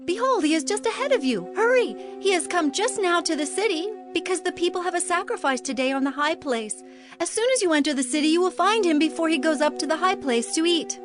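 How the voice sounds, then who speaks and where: Very high-voiced young maiden. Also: female voice, lively intonation, a little fast. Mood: eager, urgent. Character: young woman water-drawer, eager and helpful. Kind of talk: dramatized scripture acting. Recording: music behind the voice.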